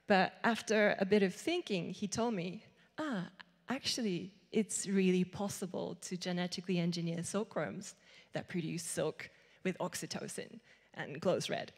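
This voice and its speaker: A woman's voice: lowish voice, moderate pace. A young woman from Japan, an artist giving a conference talk into a handheld microphone, chatty, with many "like"s and restarts. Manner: chatty, lots of likes and restarts. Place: large hall with seated audience. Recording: long hall reverb.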